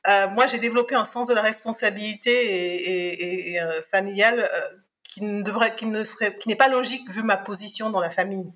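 A woman's voice: medium pitch, steady tone, fairly quick, fairly bright tone. A middle-aged woman from Cameroon, a podcast guest giving a personal testimony, thoughtful and hesitant with many restarts.

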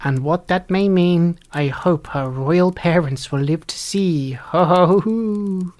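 A woman's voice: deep voice, a little slow, mellow. A woman voicing a wicked, toothless old fairy in a storybook reading, spiteful and cackling, biting off each word. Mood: gloating. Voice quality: harsh, creaky, mumbling.

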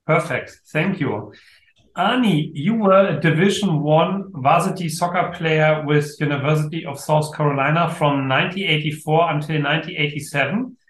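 A man's voice: on the high side, fairly bright tone. Formal male podcast host, echoey. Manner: formal, measured questions. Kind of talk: interview hosting. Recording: echoey room.

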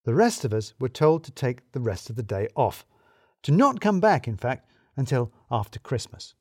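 A man's voice: mid-range voice, big pitch swings, moderate pace, darkish tone, breathy edge, no echo. Wry male storyteller, dry close sound. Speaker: narrator. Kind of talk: scripted first-person audio storytelling.

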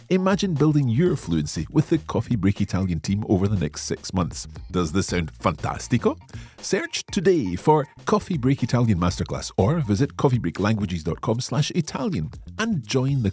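A man hosting a podcast lesson as the teacher, speaking in a clear, enthusiastic teacherly way. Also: fairly low pitch, warm tone. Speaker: language teacher and podcast host.

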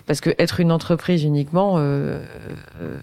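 A woman in her thirties leads a conversational interview, thinking aloud as she goes. Her voice is smooth and resonant. The sound is dry and close, with no room echo. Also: deep voice, fairly even intonation, normal speed, dark tone. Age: 30s. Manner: thoughtful, searching for words, talkative.

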